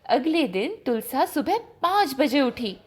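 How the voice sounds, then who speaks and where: A woman with a high voice tells a children's story. She goes slowly and expressively, with wide swings of intonation that give the telling drama. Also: very animated.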